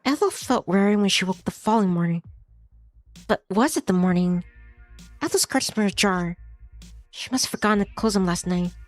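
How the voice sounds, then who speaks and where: A woman's voice: mid-range voice, animated, fairly quick, pauses often, no room sound. A woman streamer reading a visual novel aloud as story narration, now and then stumbling over a word and rereading it. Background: music behind the voice.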